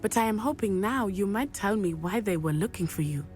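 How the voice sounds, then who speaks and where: A woman's voice: mid-range voice, expressive, fairly quick, darkish tone, a little hiss or hum, lightly acted. An actress playing an earnest young female student in a video game, from Matabeleland, speaking formally and measuredly. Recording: game audio with faint music.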